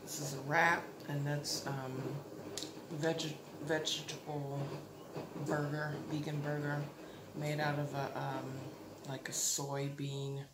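A woman's voice: low-pitched, flat delivery, takes it slowly. A woman in her thirties chatting casually to her camera at home, rambling with lots of fillers and restarts. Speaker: vlogger. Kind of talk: casual vlog chat. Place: living room, indoors. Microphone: camera close up. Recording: roomy echo, audible background noise.

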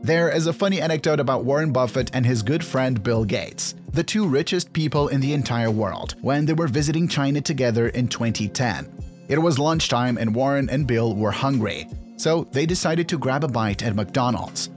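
A man reading a scripted explainer narration in a measured, instructional manner. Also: medium pitch. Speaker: video narrator. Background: background music.

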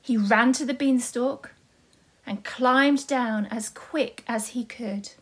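Woman doing story time for little kids, highish slightly husky voice, slow deliberate narration. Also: a bit bright. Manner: simple, deliberate phrasing for small children. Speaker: nursery storyteller. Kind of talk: scripted children's story narration.

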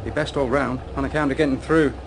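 An actor playing a stolid, deferential village constable, hesitant and folksy. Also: male voice, medium pitch, steady tone, quick pace, lightly acted. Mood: stolid, apologetic. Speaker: actor in a radio drama. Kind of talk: British radio drama acting. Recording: noisy roadside with vehicles.

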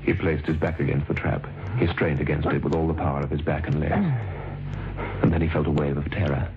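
An actor as a grave, suspenseful narrator of an old radio drama. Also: male voice, very deep voice, flat delivery, brisk, background noise. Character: grave, suspenseful male storyteller. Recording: dull old radio recording, music behind.

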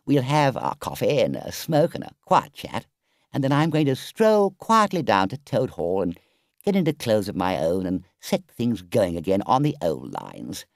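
A man voicing a conceited, excitable toad gentleman from a storybook in a theatrical, swaggering manner. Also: high voice, unhurried, hardly any pauses, no room sound, clean studio sound, voice pushed up. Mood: earnest, wistful.

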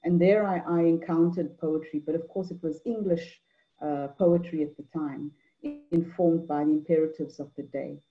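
A middle-aged woman from Mauritius gives an online talk on a video call, measured, with many fillers and repeated words. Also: low voice, fairly even intonation, faint music behind. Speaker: anthropologist and poet speaker. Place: indoor room at home. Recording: dull lo-fi video call audio.

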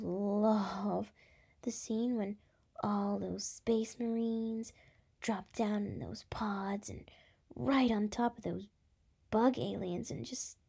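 Woman acting a slow, breathy, brash young gal. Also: mid-range voice, dark tone, heavily acted. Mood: excited. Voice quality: breathy, often dropping into whispers. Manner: halting, teasing, exasperated. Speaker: voice actress. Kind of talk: ASMR roleplay.

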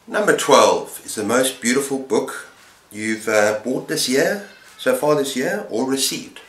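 A middle-aged man chatting casually to camera as a book vlogger, speaking haltingly with short pauses. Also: medium pitch, expressive, a bit bright. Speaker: book vlogger, channel host. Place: book-lined room at home, indoors. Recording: roomy, echoing indoor sound.

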